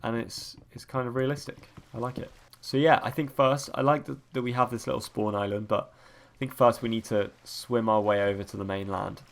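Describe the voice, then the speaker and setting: A young man with a cold, sniffly and croaky, rambling through casual gameplay commentary as a let's-play host. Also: medium pitch, level tone, a little fast. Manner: rambling, many ums and restarts.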